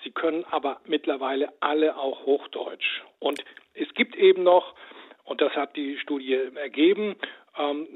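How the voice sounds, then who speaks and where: A man who directs a language institute, explaining things on a radio interview by phone in a measured, lecturing way with frequent "ähm" fillers. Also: fairly high pitch. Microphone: telephone. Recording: tinny phone line.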